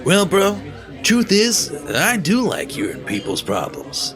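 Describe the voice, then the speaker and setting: An actor playing a friendly, talkative young bartender with a laid-back manner, in an audio drama with music underneath. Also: male voice, high voice, wildly swooping pitch, takes it slowly, exaggerated voice. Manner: laid-back, talkative, says bro a lot. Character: friendly chatty male bartender who calls everyone bro.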